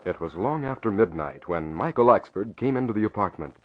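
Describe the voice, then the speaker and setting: An actor narrating an old-time radio drama as its dramatic storyteller. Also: male voice, fairly low pitch, expressive, a little fast, loud music behind the voice, natural voice. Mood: measured. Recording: muffled vintage radio recording.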